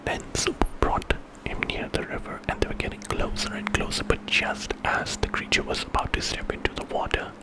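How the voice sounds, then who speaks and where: A man's voice: fairly quick, few pauses, poor audio. A man telling a story in whispered ASMR style, informally, as if making it up with casual asides.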